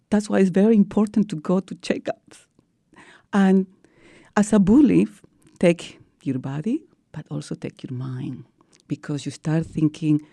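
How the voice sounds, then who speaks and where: Peruvian-accented woman, low gravelly voice. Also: warm tone, no room sound, studio quality. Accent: Peruvian Spanish. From Peru. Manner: earnest storytelling with non-native English phrasing. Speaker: guest. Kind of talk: radio interview.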